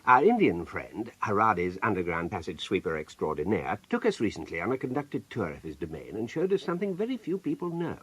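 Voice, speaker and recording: An actor playing a confident, self-satisfied criminal mastermind, speaking in a polished explanatory manner in a radio comedy. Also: male voice, lowish voice, big pitch swings, fairly quick, few pauses, fairly bright tone, slightly rough, exaggerated voice. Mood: smug, assured. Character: smooth, confident criminal mastermind planning a heist. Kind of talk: British radio comedy drama.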